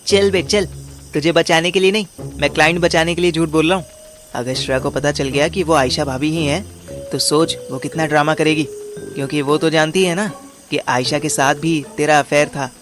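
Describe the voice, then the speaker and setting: A man's voice: on the high side, fairly even intonation, moderate pace, fairly bright tone, close to an everyday voice. A man narrating and playing a scheming, overweight amateur detective in an audio drama, with a wry, colloquial manner. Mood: sardonic. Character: overweight, scheming male amateur detective telling his story. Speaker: narrator and lead character.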